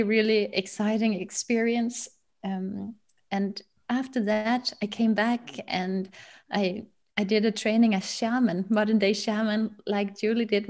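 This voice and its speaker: A woman in her thirties talks as a webinar guest from a room at home. Her pitch sits in the middle for a woman, and she recounts her experiences in a casual, conversational way. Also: darkish tone. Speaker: author and tour guide, webinar guest. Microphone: video call.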